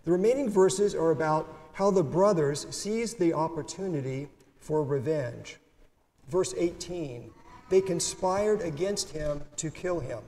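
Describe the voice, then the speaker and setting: Measured man preaching in a church. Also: a little high, dark tone. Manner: measured, deliberate preaching read from notes. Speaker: priest. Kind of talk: sermon. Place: church sanctuary, at a pulpit. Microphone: pulpit microphone. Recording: reverberant church, faint room noise.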